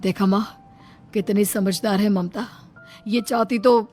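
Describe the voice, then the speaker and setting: A woman's voice: medium pitch, fairly even intonation, a little slow, dark tone, soft background music, lightly acted. A woman voicing a protective adult son who reproaches his mother earnestly in a moral story. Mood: reproachful.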